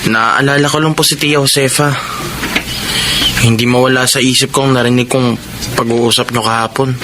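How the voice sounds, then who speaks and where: A man's voice: medium pitch, fairly even intonation, moderate pace, natural voice. A man playing a respectful, earnest young son in a Filipino radio drama, talking politely. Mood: troubled. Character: respectful, troubled young son of a charitable mother. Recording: clearly noisy radio drama recording.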